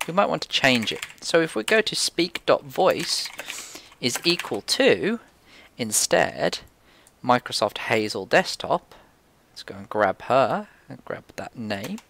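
Male tutorial presenter, informal and very lively in pitch, thinking aloud, faint noise and keyboard clicks. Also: a little slow, vocal fry. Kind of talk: casual screencast coding tutorial.

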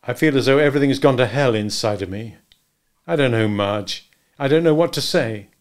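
A man reading aloud and voicing a sullen, withdrawn young man who talks in a flat, brooding way in an audiobook. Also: on the low side, unhurried, vocal fry. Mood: despairing.

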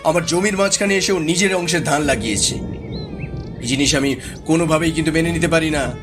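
A hot-tempered farmer voiced by a man complains in a high male pitch. He sounds accusing and defiant, with cartoon music behind. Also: brisk, natural voice. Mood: angry defiance.

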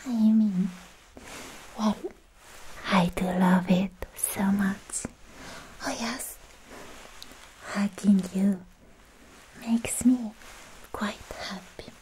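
A young woman playing a sweet, lovestruck anime demon slayer in a breathy, whispered ASMR roleplay, speaking softly and affectionately, very close to the microphone. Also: mid-range voice, steady tone, noisy background. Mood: shy, loving. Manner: slow, hushed, affectionate personal attention.

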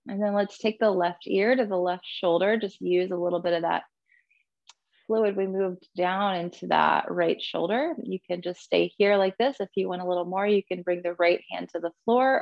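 Female yoga instructor, lowish and creaky, gentle unhurried cues, dry and slightly dull recording. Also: dry recording. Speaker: yoga and meditation teacher. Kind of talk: guided yoga class with breathing cues.

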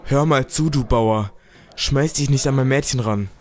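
Jealous young baron, male actor, mid-pitched, scornful and menacing, audio drama rival. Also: measured pace, natural voice. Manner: snide. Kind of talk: German amateur audio drama dialogue.

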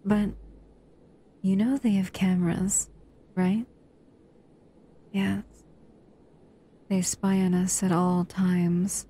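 A woman voicing a doting, seductive female shadow monster in an intimate ASMR roleplay, breathy and soothing, often whispering. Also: medium pitch, flat delivery, very slow, pauses often, mellow. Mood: hushed, conspiratorial.

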